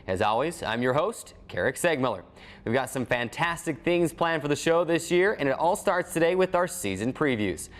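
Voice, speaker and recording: A man's voice: a little high, animated, moderate pace. An American man hosting a sports show, reading scripted previews with an announcer-like delivery. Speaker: sports show host and team broadcaster.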